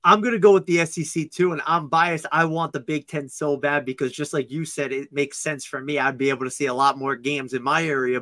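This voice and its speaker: A man's voice: a little high, sharp tone, no room sound, studio quality. A man co-hosting a sports podcast, chatting casually in an upbeat, joking way. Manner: upbeat, joking, quick asides. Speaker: podcast co-host.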